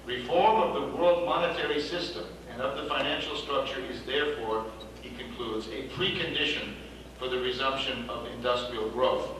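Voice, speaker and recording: A man reads a message aloud at a conference in a steady, formal tone at an average pitch. He sounds far from the microphone in a strongly echoing hall. Noise hums behind him. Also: normal speed. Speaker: conference speaker reading a message. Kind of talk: reading a letter aloud. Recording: echoey hall, distant mic, noisy.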